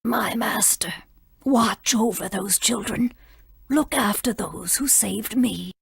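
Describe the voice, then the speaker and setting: An actress playing a proud, aged female sage in a video game, husky, formal and haughty, stern yet kindly. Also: mid-range voice, slow, no room sound, studio quality, full character voice. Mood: tender, hushed.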